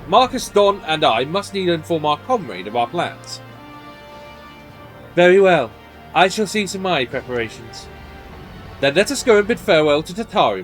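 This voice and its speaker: Man playing an earnest, courtly fantasy adventurer, lively pitch. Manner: formal, measured, earnest.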